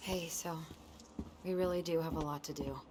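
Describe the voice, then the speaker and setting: An actress playing a brisk, friendly young woman in a video game, casual and practical with a teasing side. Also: low-pitched, fairly even intonation, noisy background, lightly acted. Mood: gently prodding. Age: young adult. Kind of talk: naturalistic video game acting.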